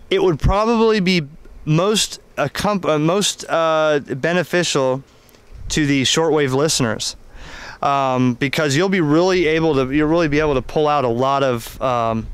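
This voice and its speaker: Smooth-voiced man reviewing radio gear. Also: a little high. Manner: halting, explanatory, conversational. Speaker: ham radio hobbyist reviewing an antenna. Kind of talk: unscripted product demonstration and review.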